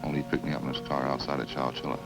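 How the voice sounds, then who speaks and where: Casting: cold-blooded confessed killer, bottom-of-the-range gravelly voice, casual and matter-of-fact, vintage radio drama. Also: male voice, a bass voice. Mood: casual, remorseless. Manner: flat, casual, unhurried confessing. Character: matter-of-fact, remorseless male drifter confessing to killings. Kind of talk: old-time radio crime drama acting. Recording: old radio recording with music behind.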